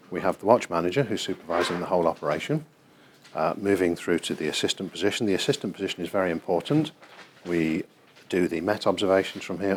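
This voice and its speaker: A middle-aged man with a low voice and a British accent explains things as a tour guide. He speaks in a measured, precise way, with clear room noise behind him in a working indoor space. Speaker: air traffic control manager and tour guide. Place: large airport control tower room, indoors. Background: control room ambience.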